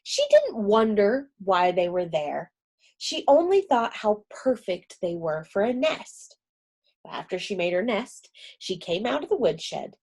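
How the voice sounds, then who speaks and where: Lady reading a kids' story, slow and lively, kinda breathy. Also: medium pitch. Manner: gentle, expressive read-aloud.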